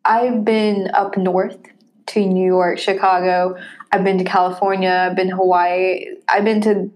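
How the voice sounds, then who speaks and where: A woman with a Tennessee Southern accent chats casually as a podcast co-host. She stretches her vowels as she talks. Her pitch sits in the middle range for a woman. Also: little pitch movement, darkish tone. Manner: chatty, drawn-out vowels. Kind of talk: casual chat.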